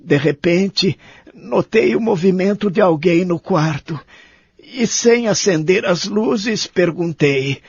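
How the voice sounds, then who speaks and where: Man playing a higher-voiced, wistful elderly man. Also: takes it slowly, husky, no echo, full character voice. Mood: reflective, uneasy. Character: remorseful, lonely elderly man in a care home. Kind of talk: audio drama acting and first-person narration. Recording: dull lo-fi audio, faint music behind.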